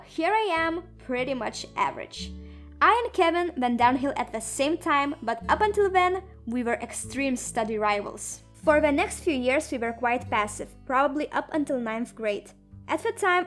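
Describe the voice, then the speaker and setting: Young woman, high voice, sarcastic storytime narration, soft music behind. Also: expressive, normal speed. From Lithuania. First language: Lithuanian. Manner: sarcastic, dramatic and self-mocking. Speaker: animator and narrator. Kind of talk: comedic animated storytime narration.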